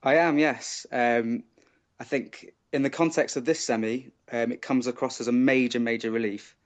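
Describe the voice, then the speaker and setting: A man on a panel giving animated, emphatic opinions, often repeating words for stress. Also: mid-range voice, normal speed. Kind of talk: opinionated music commentary.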